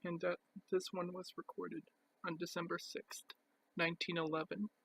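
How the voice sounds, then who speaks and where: High-pitched, monotone young-sounding male voice, gravelly and husky. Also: poor audio. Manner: halting, piecemeal delivery with pauses. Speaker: record collector presenting his collection. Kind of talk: casual show-and-tell narration.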